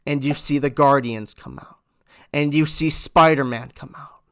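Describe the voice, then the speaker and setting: A man reviewing a film in a casual, excited, rambling storytelling way, swearing freely as he goes. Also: on the high side, normal speed. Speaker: movie reviewer.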